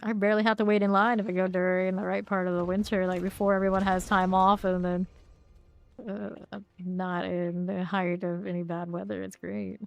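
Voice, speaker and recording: A woman's voice: medium pitch, level tone, moderate pace, vocal fry. A woman live-streaming a game, chatting casually and rambling between gameplay callouts, laughing easily. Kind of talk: casual chat while playing a game live.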